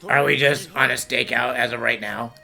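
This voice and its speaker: Woman voicing a gravelly, very low grumpy dog. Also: steady tone, normal speed, sharp tone, soft background music, full character voice. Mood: dry, questioning. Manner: blunt, dry. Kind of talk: animated series fan dub.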